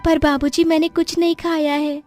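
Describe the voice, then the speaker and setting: A woman puts on a twelve-year-old beggar girl from a cartoon tale. Her voice is high, forlorn and pleading. Music plays underneath. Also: little pitch movement, normal speed, a little mellow, natural voice. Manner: plaintive, pleading. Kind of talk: cartoon story voice acting. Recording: music behind the voice.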